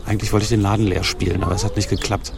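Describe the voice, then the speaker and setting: Hoarse, self-mocking man podcasting. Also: lowish voice, little pitch movement, racing, crowd noise. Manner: rambling, self-mocking, dry humor. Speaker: podcast host. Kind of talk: casual chatty monologue.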